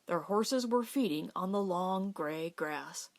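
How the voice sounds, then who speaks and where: A woman's voice: lowish voice, animated, slow pace. A woman reading a novel aloud as an audiobook narrator. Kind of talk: scripted literary narration.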